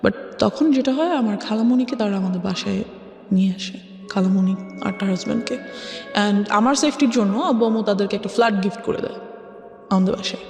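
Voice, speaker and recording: A woman's voice: medium pitch, brisk, darkish tone. A woman with a Bangladeshi accent telling her own life story in an interview, mixing English phrases into her speech. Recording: echoey reverberant sound with music behind. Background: music.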